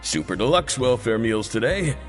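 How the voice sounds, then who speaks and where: An actor voicing a courteous, deferential cafeteria cook in a video game. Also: male voice, mid-range voice, very animated, moderate pace, natural voice. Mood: polite. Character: polite adult male cafeteria cook. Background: game music.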